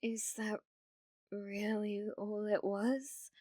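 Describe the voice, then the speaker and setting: A British woman playing a desperate, delusional, lovesick streamer in an ASMR roleplay, pleading haltingly and slipping into breathy whispers. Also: medium pitch, steady tone, mellow, no echo, studio quality. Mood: frantic, pleading.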